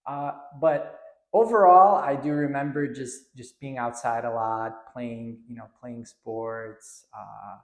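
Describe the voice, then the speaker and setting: Man from Lebanon, Arabic first language, hesitant relaxed talk, medium pitch, roomy echo. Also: takes it slowly, few pauses. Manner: relaxed, hesitant with many fillers and restarts. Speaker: podcast guest. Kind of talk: casual interview chat.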